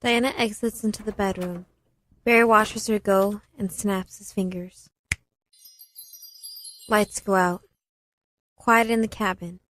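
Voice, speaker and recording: A woman's voice: mid-range voice, fairly even intonation, takes it slowly, long pauses, fairly bright tone, a little hiss or hum, low-quality recording. A woman narrating a scripted radio play, reading stage directions in a matter-of-fact way.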